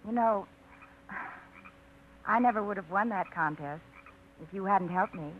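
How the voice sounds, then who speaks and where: A woman's voice: mid-range voice, unhurried, close to an everyday voice. An actress in a 1950s radio play, playing a sincere, modest single woman who speaks earnestly and plainly. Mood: quiet confiding. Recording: muffled, noisy 1950s radio recording.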